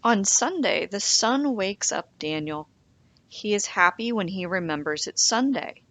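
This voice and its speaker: Female storybook reader, animated pitch, unhurried pace, children's narration.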